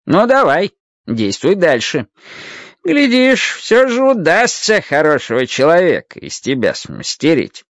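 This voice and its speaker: A man's voice: fairly high pitch, huge pitch swings, measured pace, a bit bright, no room sound, pitched above the performer's own voice, exaggerated voice. A man voicing a little old magical guardian in a radio play, a stern but kindly mentor who scolds and lectures emphatically. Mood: encouraging.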